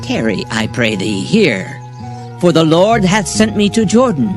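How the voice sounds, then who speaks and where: A man voicing an aged prophet, speaking slowly and gravely in a solemn reading. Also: on the high side, very animated, over music.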